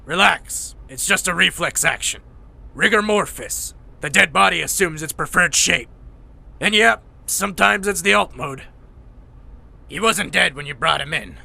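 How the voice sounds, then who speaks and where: A man voicing a grumpy, aging robot medic in a motion comic, blunt, gruff and world-weary. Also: sits high, expressive, measured pace, bright, forceful tone, exaggerated voice. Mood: exasperated. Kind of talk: animated motion-comic dialogue.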